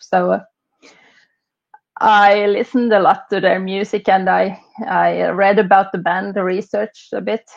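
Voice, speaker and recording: A woman's voice: mid-range voice, gravelly, lo-fi sound. A woman in her forties from Finland with a Finland Swedish accent, a native Swedish speaker, talking carefully and hesitantly as an interview guest. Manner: slow, careful, hesitant with many fillers. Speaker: interview guest, language learner. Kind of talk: casual interview chat.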